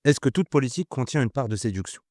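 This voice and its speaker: Man posing measured interview questions. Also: mid-range voice, expressive, a little mellow, dry recording, clean studio sound.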